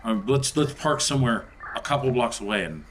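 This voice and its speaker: A man's voice: mid-range voice, expressive, brisk, fairly bright tone. A man who is a player in a tabletop game, bantering casually and expressively in character.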